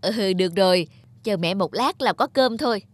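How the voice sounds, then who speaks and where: A woman playing a busy, vain young wife and mother in an animated drama dub. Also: medium pitch, normal speed, background noise, natural voice. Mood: tired, placating.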